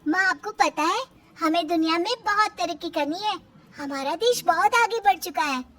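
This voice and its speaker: Woman voicing very high-pitched pleading little orphan girl. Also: normal speed, fairly bright tone. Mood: eager. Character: small orphan girl, pleading, earnest, innocent. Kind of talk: Hindi moral-story cartoon dub.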